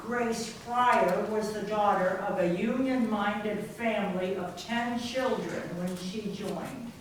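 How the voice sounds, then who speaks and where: A woman's voice: mid-range voice, takes it slowly. A woman giving scripted narration for a readers theater performance. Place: library readers theater performance, distant microphone. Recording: very echoey, distant mic, faint noise.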